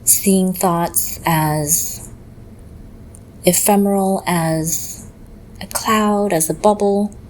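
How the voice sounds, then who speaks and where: A woman leading a guided meditation in a gentle, measured way, leaving long pauses between phrases. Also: lowish voice, steady tone, a little hiss or hum, poor audio.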